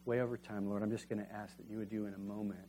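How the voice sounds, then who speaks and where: Fervent low-voiced male pastor preaching. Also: flat delivery, rapid-fire, background noise. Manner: fervent, rising to emphatic peaks then dropping to quiet prayer. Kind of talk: sermon and closing prayer. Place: church sanctuary.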